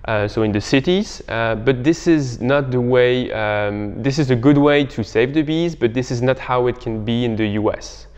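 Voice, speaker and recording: Smooth-voiced young man in a reverberant room. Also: mid-range voice. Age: young adult. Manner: halting, hesitant phrasing. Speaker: startup co-founder, interview guest. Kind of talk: explanatory interview answers. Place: bright indoor living room. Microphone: clip-on lapel mic.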